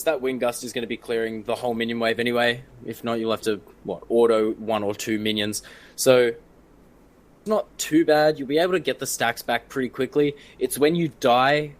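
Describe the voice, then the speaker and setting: A man's voice: medium pitch, moderate pace. A man giving live esports commentary in a jokey, slangy, playful way. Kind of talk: live game commentary with casual banter. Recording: clearly noisy background.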